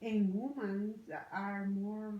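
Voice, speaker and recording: A woman's voice: mid-range voice, fairly even intonation, slow, reverberant, narrow, tinny audio. Plain-spoken woman from El Salvador. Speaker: interviewee. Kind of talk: casual family interview.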